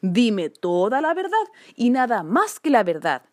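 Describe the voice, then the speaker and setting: A woman's voice: fairly high pitch, sing-song, measured pace, no room sound. Storybook policeman, female performer: stern bureaucrat, officious and scolding, swooping intonation, dry close sound. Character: stern, pompous, bureaucratic adult male policeman. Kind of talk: children's storybook character voice.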